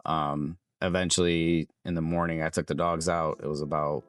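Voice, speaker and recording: A young adult man with a hoarse, raspy voice, chatting casually and rambling as he loses his train of thought. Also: extremely low pitch, little pitch movement, normal speed. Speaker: vlogger. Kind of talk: casual vlog chat.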